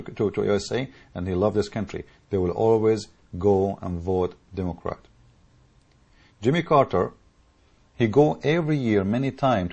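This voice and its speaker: Male commentator, English, dark mellow husky voice, heated monologue with repeated restarts. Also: lowish voice. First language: Arabic. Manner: rhetorical questions, repetitive restarts, emphatic. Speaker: political commentator. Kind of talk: impassioned monologue to camera.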